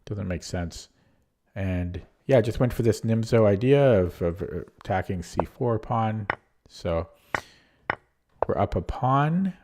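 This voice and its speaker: A man's voice: on the low side, dark tone. A man losing his voice, reviewing his chess game aloud in a casual, hesitant way with frequent ums and restarts. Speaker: chess streamer.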